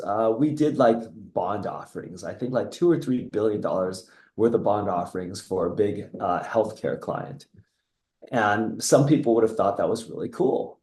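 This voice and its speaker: Guest, middle-aged US man, mellow, reflective and rambling, echoey room. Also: lowish voice. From United States (Seattle; born in Taiwan). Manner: reflective, rambling with restarts and soft laughs. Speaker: guest, entrepreneur. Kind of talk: casual interview.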